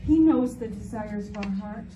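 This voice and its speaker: A woman's voice: medium pitch, moderate pace, dull audio, background noise. A woman delivering scripted lines as a reader in a church theater piece. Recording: echoey hall, distant mic, music behind.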